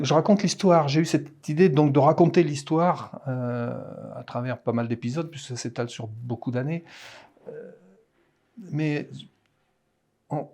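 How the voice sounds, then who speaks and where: A man in his sixties with a Parisian French accent, an author talking about his writing in a hesitant, halting way in a casual interview. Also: mid-range voice, mellow. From France. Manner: hesitant, halting, many restarts.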